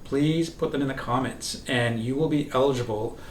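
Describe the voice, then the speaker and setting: A man's voice: mid-range voice, moderate pace. A man co-hosting a casual chat, upbeat as he announces a giveaway and signs off. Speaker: podcast co-host. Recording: echoey room, mic at a distance, faint noise.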